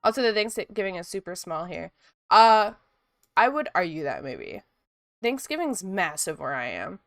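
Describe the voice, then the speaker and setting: A woman's voice: fairly low pitch, normal speed, dry recording. A woman from Ontario, a sniffly art streamer chatting casually with her viewers while she draws. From Canada, Ontario. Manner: chatty, lively, reads chat aloud.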